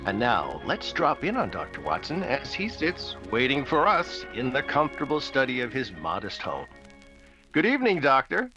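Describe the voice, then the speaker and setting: An actor playing a hearty, courteous 1940s radio sponsor announcer with polished, emphatic salesmanship. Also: male voice, medium pitch, wildly swooping pitch, moderate pace, lo-fi sound, loud music behind the voice. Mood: hearty, selling. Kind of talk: 1940s radio advertising patter.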